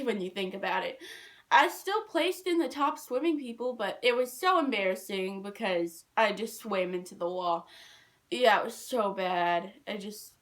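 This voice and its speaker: A young woman telling personal stories in a casual, animated, rambling and self-deprecating way. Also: medium pitch. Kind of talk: casual storytime chat.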